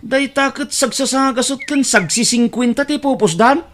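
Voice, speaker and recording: A woman's voice: high-pitched, normal speed, lightly acted. A woman playing a put-upon, resentful young family driver, hesitant and plaintive, in an audio drama. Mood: aggrieved. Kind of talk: Ilocano audio drama acting.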